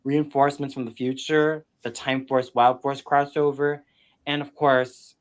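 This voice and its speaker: A man's voice: mid-range voice, level tone, unhurried. Man in his thirties explaining opinions. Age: 30s. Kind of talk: casual commentary.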